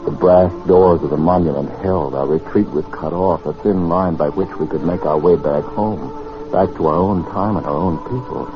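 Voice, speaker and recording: A very low-voiced, wary time traveller played by a male actor in a 1940s radio drama. He speaks in measured, literary phrases with an anxious, gentle streak. The old recording is dull, with music swelling underneath. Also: natural voice. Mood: solemn storytelling. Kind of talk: 1940s-50s radio acting, dramatic narration.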